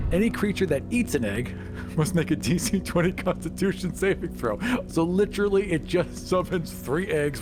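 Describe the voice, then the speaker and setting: A man playing a tabletop game, describing his actions and rolls in casual talk. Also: a little high. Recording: music behind the voice. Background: music.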